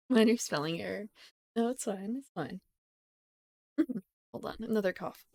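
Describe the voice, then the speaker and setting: A woman streamer chatting casually with her viewers, rambling, giggling and correcting herself, her voice still croaky from just waking up. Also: medium pitch, slow pace, a little mellow. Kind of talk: casual live-stream chat.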